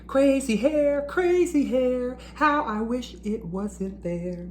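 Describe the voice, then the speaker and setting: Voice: mid-range voice, animated, slow pace, slight background noise, pitched above the performer's own voice. A reader voicing a self-conscious, dramatic young schoolboy in a storybook read-aloud, in an exclamatory way. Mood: singsong.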